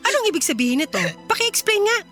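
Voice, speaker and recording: A woman's voice: extremely high pitch, sing-song, fast, bright, forceful tone, faint music behind, heavily acted. A woman playing a wealthy, betrayed employer in a radio drama, stern, bitter and reproachful toward someone distrusted. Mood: probing. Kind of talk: radio drama acting in Tagalog.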